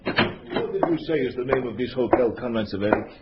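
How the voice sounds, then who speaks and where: Veteran communist agent, male, acted, stiffly commanding, highly animated intonation, muffled 1950s radio drama recording. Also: brisk, close to an everyday voice. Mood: formal. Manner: stiff, formal, commanding phrasing. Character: formal, suspicious veteran Soviet party agent, male. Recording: dull, faintly noisy old radio recording.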